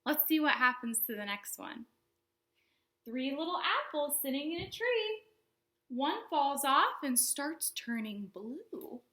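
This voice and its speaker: A woman hosting a storytime for young children, speaking in simple explanatory phrases with an animated, sing-song delivery. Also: high-pitched, slow pace, poor audio. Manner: animated, exaggerated questions and exclamations for young children. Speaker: children's librarian and storytime host.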